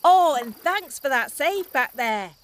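Brusque, lively fantasy heiress. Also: female voice, high-pitched, measured pace, a little hiss or hum. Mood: brisk dismissal. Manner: offhand, dry, casual. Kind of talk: fantasy audio drama acting.

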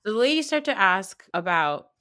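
A woman's voice: medium pitch, very animated, moderate pace, sharp tone. A woman co-hosting a podcast, recapping reality TV in a blunt, casual way and laughing often. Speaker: podcast co-host.

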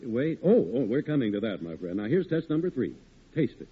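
A man announcing and pitching in a folksy 1940s radio showman style. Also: big pitch swings, brisk, slightly rough. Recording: tinny old radio recording.

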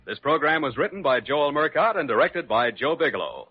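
A man's voice: fairly high pitch, very animated, brisk, breathy edge. A man announcing an old-time radio drama in a formal, declamatory manner. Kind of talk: 1940s-50s radio announcing. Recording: muffled old radio recording, loud music. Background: loud music.

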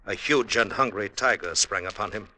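A man playing a sly, newly rich villager in an old radio drama, speaking in formal, measured phrases. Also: lowish voice, pushed and bright, slight background noise. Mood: smooth, scheming. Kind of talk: 1950s radio drama acting.

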